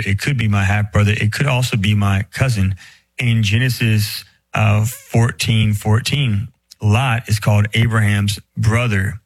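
Man, priest, low voice, dry close sound, casual chatty explanation, lots of fillers. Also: no room sound, low-quality recording. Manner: casual, filler-heavy, speeds up and slows down.

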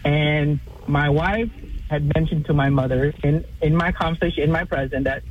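A man in his thirties from Vietnam, calling in to ask for advice, speaking haltingly with many fillers and restarts. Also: fairly high pitch, fairly even intonation, dull audio, slight background noise. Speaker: caller. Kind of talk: call-in advice question.

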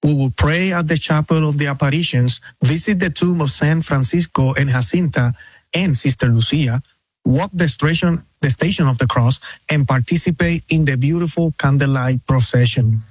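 A man with a slightly raised pitch and a breathy, husky edge reads a devout invitation. His delivery is measured and unhurried, slowing down on the key phrases. The sound is dry and close. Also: a little mellow, dry recording. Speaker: pilgrimage promoter. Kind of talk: read promotional invitation.

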